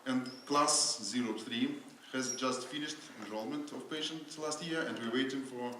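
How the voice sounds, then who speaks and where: A non-native English-speaking man giving a surgery lecture at a conference, halting, with many fillers and restarts. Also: mid-range voice, pushed and bright. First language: not English. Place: lecture hall. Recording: very echoey hall with faint noise.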